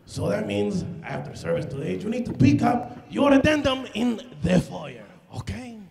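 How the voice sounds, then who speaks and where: A man doing a deadpan comic news segment on a church stage, dryly repeating his running gag. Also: high voice, moderate pace, gravelly, reverberant, a crowd in the background. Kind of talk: deadpan comedy sketch. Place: large church stage, indoors. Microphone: handheld mic.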